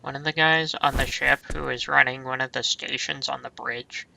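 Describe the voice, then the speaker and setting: Casting: wry gamer sidekick, heavy vocal fry, bright edge, casual commentary. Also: mid-range voice, fairly even intonation, moderate pace, slight background noise, low-quality recording. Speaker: let's play co-commentator.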